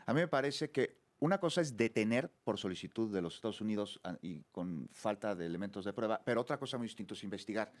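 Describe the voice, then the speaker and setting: A man moderating a political debate in a measured, emphatic way, stepping in to keep time. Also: on the low side, animated.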